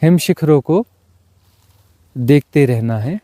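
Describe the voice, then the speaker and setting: A man leading a guided meditation as a teacher, speaking in unhurried, short, repeated phrases and mixing in some English words. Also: a little high, lively intonation, dark tone. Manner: mixes English words into Hindi.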